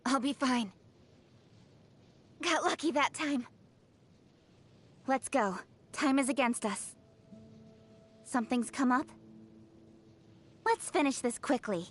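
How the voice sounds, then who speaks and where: An actress playing a cool, brisk young female fighter in clipped video game battle lines. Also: high-pitched, lively intonation, husky, heavily acted. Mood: cool, businesslike. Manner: clipped, terse phrases.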